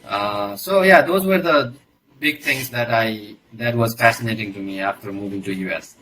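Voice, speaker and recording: Bright-voiced Nepali man, chatting. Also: fairly low pitch, normal speed, slight background noise, low-quality recording. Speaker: interview guest, engineer. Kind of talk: casual interview talk.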